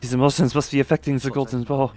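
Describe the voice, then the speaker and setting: A man's voice: mid-range voice, little pitch movement, fast talker, a little mellow. A man doing casual live commentary as a game streamer, exclamatory and rambling. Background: faint music.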